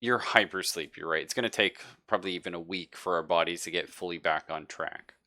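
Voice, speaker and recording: A man's voice: deep voice, brisk, sharp tone. A man running a tabletop roleplaying game as game master, narrating casually. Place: live online game session.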